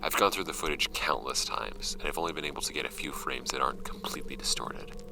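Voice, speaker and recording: A man as a very deep, haunted investigator. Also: in a whisper, music in the background, rough recording. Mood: weary, uneasy. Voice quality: very low, gravelly, often breathy at phrase ends. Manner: slow-burning, grave, deliberate. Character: shaken male paranormal investigator reading his grim field log. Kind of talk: scripted horror audio drama monologue.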